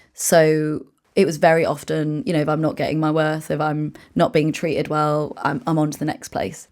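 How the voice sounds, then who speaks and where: A woman from England, a guest founder and author, chatting in an interview with brisk, lively storytelling and frequent "like" fillers. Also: low voice. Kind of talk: casual interview chat.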